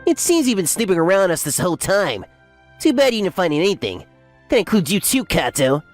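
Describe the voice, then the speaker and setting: A woman's voice: on the low side, sing-song, a little fast, faint music behind. A woman playing a scheming, theatrical, mocking office villain in a manga dub. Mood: smug. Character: smug, scheming office villain who panics when cornered.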